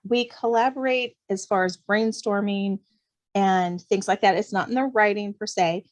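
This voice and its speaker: A woman talks casually as an interview guest. Her voice sits at an average pitch, and she explains things in a conversational, unscripted way. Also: moderate pace. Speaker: guest author.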